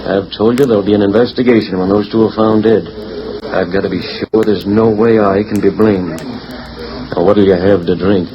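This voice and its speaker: An actor playing a domineering, impatient schemer, curt and dismissive, in a 1940s radio drama style. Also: male voice, fairly low pitch, fairly even intonation, normal speed, lightly acted. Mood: impatient, firm. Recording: muffled, noisy 1940s radio recording.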